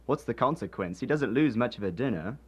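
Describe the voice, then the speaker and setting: An actor playing a cheerful, forgiving young nephew in a radio drama, good-humoured and animated. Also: male voice, mid-range voice, fairly quick, slightly rough. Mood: amiable, pitying.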